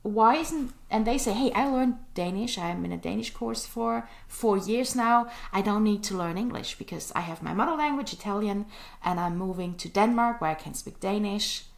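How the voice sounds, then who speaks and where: A woman hosting a podcast, asking her guest questions in a friendly way with pauses. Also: medium pitch, vocal fry. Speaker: podcast host. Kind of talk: interview questions.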